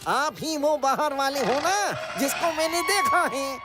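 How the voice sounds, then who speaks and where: Earnest high-pitched cartoon local. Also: female voice, lively intonation, measured pace. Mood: earnest, explanatory. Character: earnest, helpful cartoon local warning of danger. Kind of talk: Hindi cartoon dubbing.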